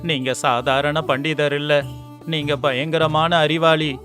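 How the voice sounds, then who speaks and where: A man playing a rich, miserly merchant in an animated moral story, sly and obsequious, protesting in a whining way. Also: a little high, slow pace, faint music behind. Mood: pleading.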